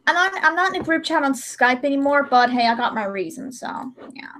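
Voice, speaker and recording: A woman with a high-pitched voice hosts a casual livestream. She talks in a conversational way, often stopping and restarting a phrase before she gets to the end of it. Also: expressive, fairly quick, fairly bright tone, slight background noise. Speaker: livestream host.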